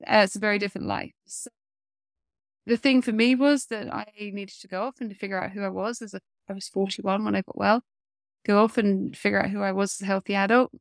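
A woman's voice: mid-range voice, fairly even intonation, a little fast, vocal fry, no echo, studio quality. A woman in her forties, a coach speaking as an interview guest, talking earnestly with a blocked-up nose and many fillers. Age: 40s. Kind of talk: casual interview chat.

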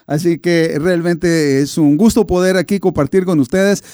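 A man's voice: high-pitched, steady tone, moderate pace, mellow, clean tone, dry recording, clean studio sound. A man born in Argentina and raised in Brazil, speaking conversationally as a radio co-host in a booth. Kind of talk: self-introduction on talk radio.

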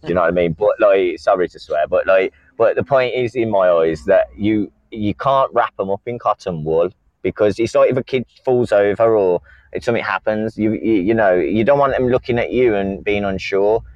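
A man's voice: on the low side, normal speed, a little mellow, no room sound, dull audio. A man, calling in to a talk show and rambling with many fillers and repeated words. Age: 30s. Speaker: caller. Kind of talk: casual call-in chat.

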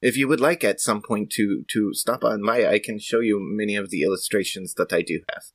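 A man's voice: fairly low pitch, brisk, no echo, studio quality. A man playing an earnest, reserved female scientist in a tabletop game, speaking formally with polite, hesitant precision. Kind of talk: improvised tabletop roleplay.

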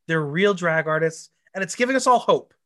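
A congested man hosting a podcast, chatty and animated, swearing casually. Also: on the high side, fairly quick. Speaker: podcast host.

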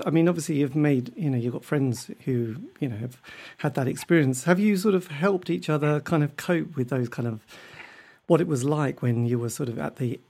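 A man's voice: a little high, mellow. A man interviewing a guest, asking long, hedging questions full of fillers.

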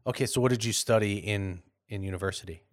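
A man's voice: fairly low pitch, fast, no echo, studio quality. A man hosting a company podcast, asking friendly, rambling questions and laughing easily. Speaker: podcast host. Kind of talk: conversational interview.

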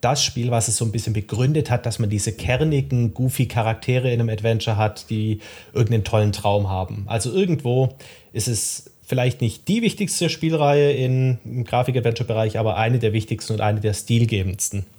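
A man's voice: medium pitch, fairly quick, mellow. A man appearing as a podcast guest, talking in a dry, thoughtful way with some hesitation. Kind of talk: casual podcast talk.

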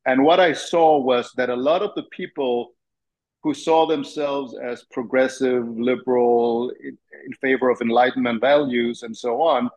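A man's voice: medium pitch, fairly even intonation, moderate pace, darkish tone, poor audio. A man from Denmark, a guest on an interview show who talks in an earnest, articulate way with frequent fillers. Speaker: interview guest, free speech lawyer. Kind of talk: interview conversation.

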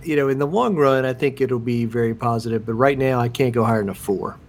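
A man co-hosting a casual sports podcast, with a middle-pitched voice and a dry, teasing way of ribbing his partner. He talks easily and evenly through the banter. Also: fast. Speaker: podcast co-host.

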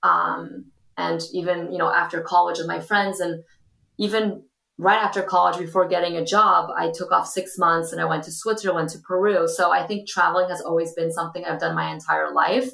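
Woman, young adult, podcast guest, chatty, lots of fillers, gravelly voice, roomy sound. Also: on the low side, fairly even intonation, brisk, fairly bright tone. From Portugal. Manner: chatty, many ums and you-knows. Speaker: guest, influencer. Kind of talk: casual interview talk. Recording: echoey room.

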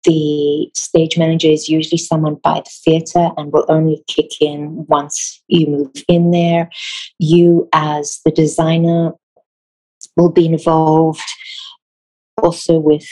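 Husky low-voiced woman, thoughtful. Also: dark tone, rough recording. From Israel. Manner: thoughtful, measured, with pauses and self-corrections. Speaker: theatre designer. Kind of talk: interview answers, reflective.

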